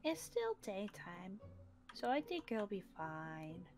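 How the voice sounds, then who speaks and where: A woman's voice: medium pitch, very animated, takes it slowly, music in the background. A woman playing an upbeat, teasing young survivor in an in-character roleplay. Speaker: roleplay series player.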